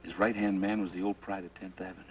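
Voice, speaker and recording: An actor playing a tough outlaw who talks tersely and gives orders, in a 1940s radio drama. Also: male voice, sits low, flat delivery, quick pace, lightly acted. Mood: grim. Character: tough outlaw gunman, adult man, blunt. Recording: dull old radio, heard from a distance, music behind.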